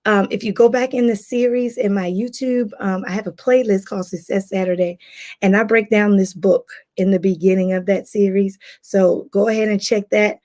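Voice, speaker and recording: A hoarse woman, a life coach, hosting a casual livestream and greeting viewers by name. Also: medium pitch, steady tone, normal speed, darkish tone.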